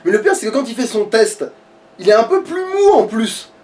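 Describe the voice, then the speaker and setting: High-voiced man in crude gaming chat. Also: very animated, normal speed, a little mellow. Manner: crude, slangy, swearing. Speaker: video game player. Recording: distant from the mic.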